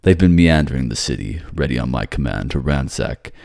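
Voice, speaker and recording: A man's voice: very deep voice, lively intonation, fairly quick, gravelly, darkish tone, exaggerated voice. A man playing a brooding, commanding warlord king in a fantasy audio drama, curt and proud. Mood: scornful. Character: gruff, menacing, scornful warlord king, fiercely protective lover.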